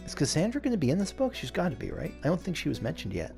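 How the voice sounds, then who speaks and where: Male game commentator, creaky voice, casual and keen, faint music underneath. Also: fairly high pitch, wildly swooping pitch, rapid-fire, darkish tone. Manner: enthusiastic, amused. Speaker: let's play host.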